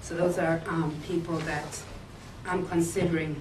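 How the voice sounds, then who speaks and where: A woman from Zambia pitching her business plan, hesitating often with ums and uhs. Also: flat delivery, measured pace. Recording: echoey room, mic far off, faint hiss.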